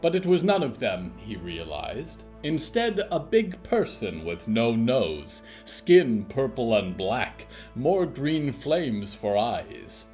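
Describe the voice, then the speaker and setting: A man narrating scripted literary horror fiction in a measured, theatrical way with dramatic pauses. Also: mid-range voice, very animated, darkish tone, soft background music.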